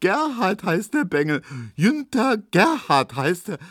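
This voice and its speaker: A man acts out a loud, excitable male barbershop colleague from a storybook. The voice leaps high and swoops widely, in brash, gleeful slang, very close and dry. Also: normal speed, husky, dry recording, heavily acted. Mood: hysterical glee. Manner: shouting, Berlin colloquial speech, egging on.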